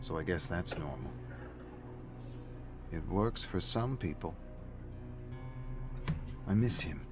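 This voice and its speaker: A man's voice: low-pitched, expressive, slow pace. A man reading a story aloud as a scripted, dramatic narrator. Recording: music playing behind the voice. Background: faint background music.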